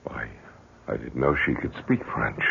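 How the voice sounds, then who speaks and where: A man's voice: rumbling low, steady tone, vocal fry, pushed and bright, dull audio, a little hiss or hum, full character voice. An actor playing a worried, insistent middle-aged father who stumbles and repeats himself, in 1970s radio drama style. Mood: bewildered. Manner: halting, repeats and stumbles over words. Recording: thin, band-limited 1970s radio recording.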